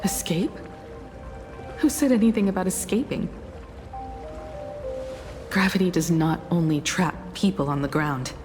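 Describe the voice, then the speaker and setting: A resolute video game heroine, facing down her enemy. Her voice sits a little low, and she speaks slowly and firmly, weighing each phrase with stern confidence. Prominent music runs underneath. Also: expressive. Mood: defiant, confident. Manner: deliberate, firm, confrontational, measured. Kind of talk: dramatic video game voice acting. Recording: loud game music behind. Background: game soundtrack music.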